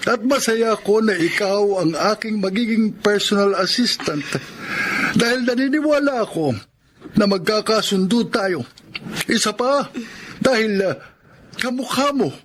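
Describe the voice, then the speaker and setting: A man's voice: high voice, lively intonation, a little slow, pauses often. A man playing a fatherly older wealthy widower and employer in a Filipino radio drama. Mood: pleased, fatherly.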